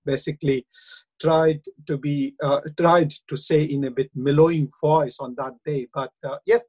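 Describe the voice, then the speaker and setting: A middle-aged man with an Indian accent, speaking earnestly and haltingly in a radio interview, with frequent hesitations. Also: fairly high pitch, steady tone, darkish tone, poor audio. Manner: earnest, hesitant, many uh fillers. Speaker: councillor and university lecturer.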